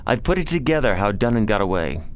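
A man's voice: lowish voice, big pitch swings, fast talker, slight background noise. An actor playing a nobleman's loyal friend, earnestly explaining bad news in a science fiction audio drama.

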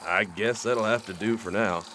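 An actor playing a casual, obliging forger among outlaws in a western radio drama. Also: male voice, fairly low pitch, normal speed, bright, forceful tone, slight background noise. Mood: easygoing. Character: agreeable, businesslike male outlaw forger in western.

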